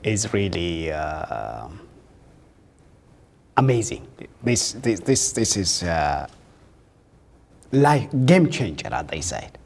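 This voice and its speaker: A man's voice: low-pitched, sing-song, creaky, a little mellow. A man hosting a television panel discussion, praising a guest's point and then asking a hesitant question. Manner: slow, hesitant, many fillers.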